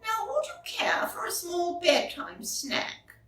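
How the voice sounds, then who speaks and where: A woman's voice: extremely high pitch, wildly swooping pitch, slow pace, a bit bright, roomy sound, in a whisper, far from the mic, pitched above the performer's own voice, full character voice. A woman voicing a kindly, regal old lady in a children's storybook, with a gracious, lilting manner.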